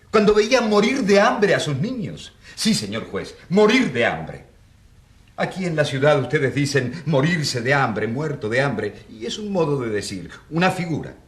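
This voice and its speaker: An earnest country priest in a radio drama. His slightly high male voice swings widely as he argues with indignant, rhetorical force. The sound is roomy and echoing. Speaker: actor.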